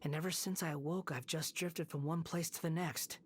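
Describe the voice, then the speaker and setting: A woman playing a sorrowful young male puppet wanderer in a video game, solemn, measured and earnest. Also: low voice, fairly even intonation, a little hiss or hum, natural voice. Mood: solemn, resolute. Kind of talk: dramatic video game voice acting.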